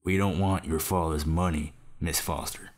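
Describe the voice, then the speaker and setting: A man acting a grave backwoods father of about fifty in an audio drama. The voice sits extremely low and hardly moves in pitch. He is terse and plain-spoken, with quiet intensity. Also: fairly even intonation, moderate pace. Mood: firm.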